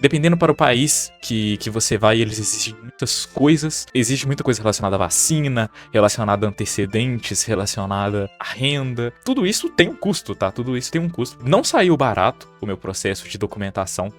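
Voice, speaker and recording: A man's voice: mid-range voice, fairly quick, few pauses, faint music behind. A young Brazilian man with a Minas Gerais accent, telling a personal story to his viewers in a chatty, casual way full of tag questions. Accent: Brazilian Portuguese, Minas Gerais. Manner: chatty, frequent tag questions, lively bursts. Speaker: vlogger.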